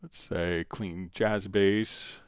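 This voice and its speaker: A man hosting a casual live music software tutorial, answering viewer questions in a halting, thinking-aloud way. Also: sits low, steady tone, clear, resonant tone, dry recording, clean studio sound.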